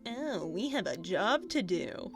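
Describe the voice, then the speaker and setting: A woman's voice: medium pitch, sing-song, takes it slowly, over music. A woman playing a bored, curious, flippant sidekick in an audio drama, informal and muttering to herself. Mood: flippant, grudging.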